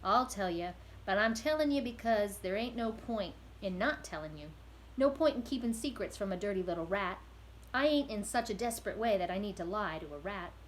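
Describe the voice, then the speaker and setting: A woman voicing a gruff old male prisoner, a cow thief, with loud, rough, rustic speech. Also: medium pitch, lively intonation, a little fast, a little hiss or hum, natural voice, low-quality recording. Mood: confessing.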